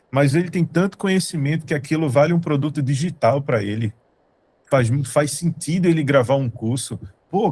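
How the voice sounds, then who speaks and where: A man with a Brazilian accent from Recife, presenting to an online meeting in a casual, emphatic way, repeating his points. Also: medium pitch. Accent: Brazilian Portuguese, from Recife. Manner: emphatic, repeats points, talks straight to the audience. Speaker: studio co-founder presenting.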